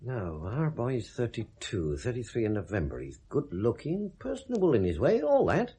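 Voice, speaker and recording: A slightly husky, middle-aged British detective in a seventies radio play. He muses and probes drily, his pitch moving widely as he doubts what he has been told. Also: huge pitch swings, moderate pace, dark tone. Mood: puzzled, musing. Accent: British English. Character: ageing male police detective, sceptical, worldly, probing. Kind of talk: 1970s British radio drama acting.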